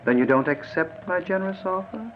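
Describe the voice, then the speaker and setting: A man's voice: high voice, expressive, brisk. An actor playing a sadistic, mockingly polite murderer in an old-time radio thriller, toying with his victim in silky mock concern. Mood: mocking menace. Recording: muffled, noisy vintage radio recording.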